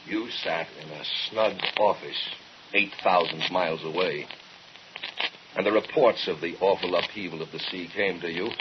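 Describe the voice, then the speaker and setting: An actor playing a somber, reproachful accuser in an old radio horror drama, with solemn, poetic delivery. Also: male voice, deep voice. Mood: solemn, hushed. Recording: muffled, very noisy old radio recording.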